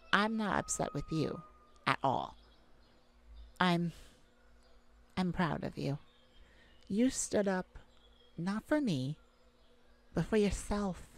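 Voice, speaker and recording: A woman playing a caring, affectionate mage gardener in a gentle ASMR roleplay audio drama. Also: on the low side, creaky. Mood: reassuring, proud. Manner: affectionate, gentle, full of endearments. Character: tender, caring mage gardener devoted to their werewolf mate.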